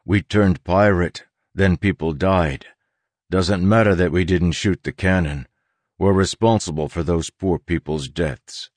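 A man voicing a huge, morose pirate quartermaster in an audiobook, measured and matter-of-fact. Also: low voice, a little mellow, dry recording.

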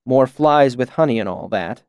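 A man's voice: mid-range voice, lively intonation, normal speed, darkish tone, clean tone, no room sound, clean studio sound, natural voice. A man voicing a wry, self-possessed young woman in an audiobook story.

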